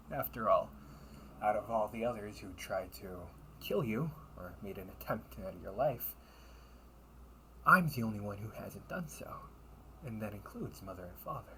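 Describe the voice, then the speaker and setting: A man's voice: on the low side, animated, pushed and bright, a little hiss or hum, rough recording. A man playing a sly, wealthy older brother in a sci-fi audio roleplay, with theatrical, formal, teasing delivery. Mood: smug, teasing. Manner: theatrical, formal wording with teasing chuckles and drawn-out pauses. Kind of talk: solo audio roleplay addressed to the listener.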